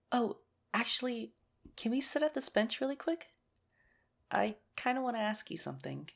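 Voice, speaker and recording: A woman playing a nerdy, earnest young teacher shyly courting a crush in a conversational ASMR romantic roleplay, gently self-mocking and a little hesitant. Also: medium pitch, creaky. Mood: shy, hopeful.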